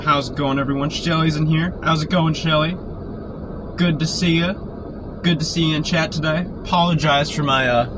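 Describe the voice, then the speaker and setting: A man with a sore throat from a cold, keeping his voice quiet and low while chatting casually on a live stream from inside a parked car. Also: fairly even intonation, moderate pace. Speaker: storm chaser live-streaming. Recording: noisy car interior. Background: car and outdoor traffic noise.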